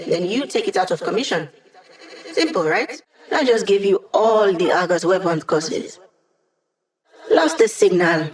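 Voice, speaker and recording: A woman's voice: lowish voice, expressive, a little slow, long pauses. An actress playing a young female hacker and tech ally in a video game, upbeat, casual and playfully sardonic. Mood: focused, brisk.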